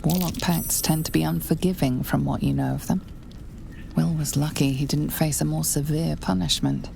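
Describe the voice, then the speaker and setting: Solemn fantasy game narrator, low pitch, creaky voice, ominous delivery. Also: female voice, fairly even intonation, normal speed, a little hiss or hum. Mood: measured. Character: solemn, ominous female storyteller of a fantasy tale. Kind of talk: scripted video game narration. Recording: loud music under narration.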